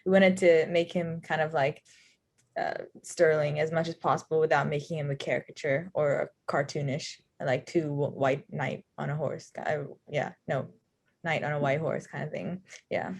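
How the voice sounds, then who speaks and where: Low-voiced woman talking casually. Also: little pitch movement, moderate pace, vocal fry, darkish tone. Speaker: co-writer. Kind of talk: casual, unscripted discussion.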